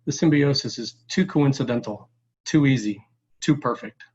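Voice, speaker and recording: A man playing an earnest, analytical scientist in their sixties, explaining in a measured way at a table read. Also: medium pitch, darkish tone, breathy edge, highs cut off, lightly acted. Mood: earnest, intent.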